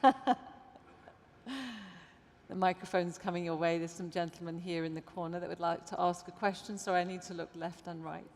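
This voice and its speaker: A woman moderating audience questions at a summit, brisk and lightly humorous as she passes the microphone around. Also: on the low side, a little mellow, slight background noise. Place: conference hall. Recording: long hall reverb.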